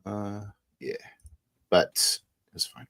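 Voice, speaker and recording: A man's voice: lowish voice, fairly even intonation, extremely slow, gravelly, fairly bright tone, no echo. A man chatting casually and slangily about a roleplay game, with some swearing. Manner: slangy, profane. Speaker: tabletop roleplay player in post-game discussion. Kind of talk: casual chat.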